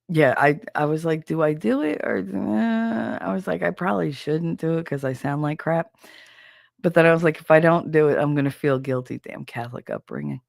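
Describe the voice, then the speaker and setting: Stuffy, gravelly New host. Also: medium pitch, no room sound, clean studio sound. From New Jersey. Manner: rambling, chatty, self-deprecating, greets chat viewers. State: stuffy, nasal from a cold. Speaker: livestream host. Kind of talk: casual live-stream chat.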